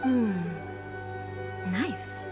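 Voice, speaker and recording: A woman playing a calm, curious female ruler in a video game, speaking in a composed, formal and measured way. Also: fairly high pitch, full character voice. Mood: pleased. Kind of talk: scripted video game voice acting. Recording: music playing behind the voice.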